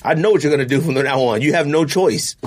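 A man's voice: fairly high pitch, quick pace, a little hiss or hum. A man hosting a casual podcast with friends, teasing them playfully and calling out his picks like an announcer. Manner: playful trash talk, teasing, announcer-style pick calls. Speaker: podcast host. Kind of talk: casual chat among friends.

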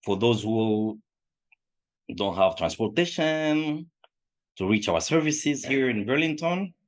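A man's voice: mid-range voice, animated, slow. A middle-aged man from Congo, not a native English speaker, answering interview questions with many hesitations and restarts. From Democratic Republic of the Congo. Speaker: food shelf supervisor.

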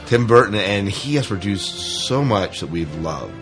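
Man, low voice, podcast co-host, casual news chat, opinionated, faint music behind. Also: expressive, a little slow, a bit bright.

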